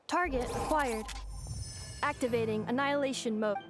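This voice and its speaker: Woman voicing a high-voiced, formal robot girl. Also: moderate pace, close to an everyday voice. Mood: coolly combative. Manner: clipped, formal, emotionless phrasing. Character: polite, literal-minded robot girl with combat modes. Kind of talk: anime-style video game voice acting. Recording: game music behind the voice.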